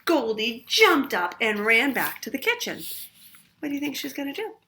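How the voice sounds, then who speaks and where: A woman reading a picture book aloud for children, pausing to ask listeners questions about the story. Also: high voice, big pitch swings, sharp tone, a little hiss or hum. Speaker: storytime reader. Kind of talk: read-aloud storytime narration.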